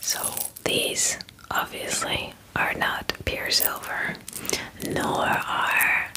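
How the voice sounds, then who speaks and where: A young woman in her twenties speaks in a soft, breathy whisper, very close to the microphone. She takes her time, drawing out her phrases in a gentle, chatty way, as in an ASMR pampering roleplay. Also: low-quality recording. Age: 20s. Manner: slow, gentle, chatty and unhurried. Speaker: ASMR performer playing a werewolf spa groomer. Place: small indoor room.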